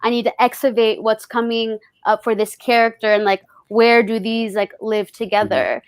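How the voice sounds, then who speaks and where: Higher-voiced woman speaking thoughtfully. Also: level tone, normal speed, no echo. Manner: thoughtful, searching for words. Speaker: actor on a festival panel. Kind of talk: reflective panel conversation.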